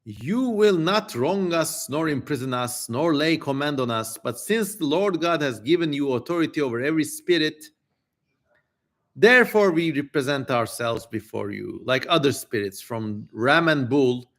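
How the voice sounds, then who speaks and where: A man's voice: fairly high pitch, lively intonation, moderate pace, fairly bright tone. A man voicing proud demonic spirits, rulers of darkness, in a solemn, grandiose dramatic reading.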